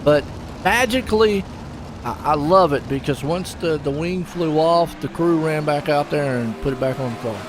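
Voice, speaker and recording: Casting note: chatty male sim race host, slightly high voice, loud engine noise behind him. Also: moderate pace. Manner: chatty and folksy, jokes with his co-host. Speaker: race commentator and stream host. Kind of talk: casual sim racing commentary. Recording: noisy broadcast mix with engine noise.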